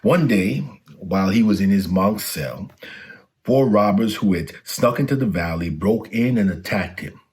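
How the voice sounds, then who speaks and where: A man's voice: lowish voice, lively intonation, measured pace, darkish tone. A man reading a children's storybook aloud as a scripted narration.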